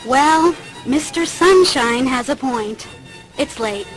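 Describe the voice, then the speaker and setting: An actress playing an earnest, polite, conciliatory young heroine in a video game. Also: female voice, high voice, lively intonation, slow. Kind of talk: video game dialogue acting. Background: music.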